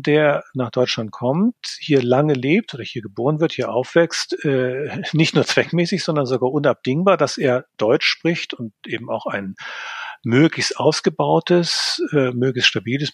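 Gravelly, husky man explaining carefully. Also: on the high side, no echo. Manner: measured, hesitant with many fillers. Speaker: linguist guest. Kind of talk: expert interview answers.

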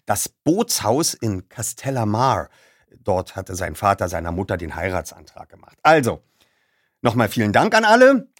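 A man's voice: fairly low pitch, sing-song, normal speed, no echo, studio quality. A man presenting a radio play feature, talking casually and reading out plot points.

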